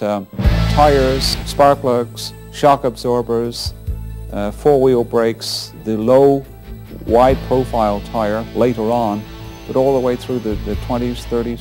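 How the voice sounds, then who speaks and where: Interviewee: middle-aged man, creaky low voice, halting and conversational, faint music underneath. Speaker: interviewee commenting on racing history. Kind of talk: unscripted interview recollection. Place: indoor interview set.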